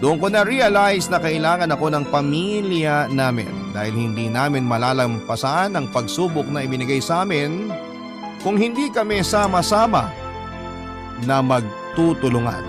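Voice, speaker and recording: A man's voice: a little high, animated, moderate pace. Filipino male storyteller over music. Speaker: narrator reading a letter aloud. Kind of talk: dramatic first-person story narration.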